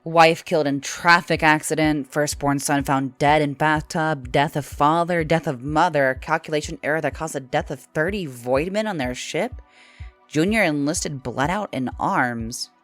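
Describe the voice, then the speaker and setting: A woman playing a blunt, bossy, brown-furred female alien in audio fiction, with a flat, brusque delivery. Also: deep voice, normal speed, dry recording, faint music behind, natural voice. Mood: dry, blunt. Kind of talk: audio fiction character acting.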